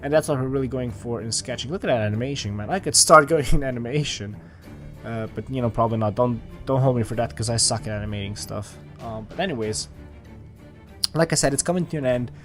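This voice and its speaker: A man's voice: medium pitch, fast talker, fairly bright tone, soft background music. A man casually talking over his drawing process, rambling with lots of fillers and restarts. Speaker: art channel host. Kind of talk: casual voice-over commentary on a sketch.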